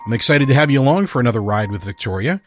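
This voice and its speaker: A man's voice: medium pitch, expressive, rapid-fire. Male podcast host over music. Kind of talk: scripted show introduction.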